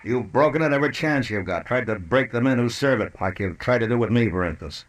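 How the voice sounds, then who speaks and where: An actor playing a tough, coolly menacing western marshal in an old-time radio drama, laconic, sardonic and commanding. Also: male voice, mid-range voice, lively intonation, fast talker, lightly acted.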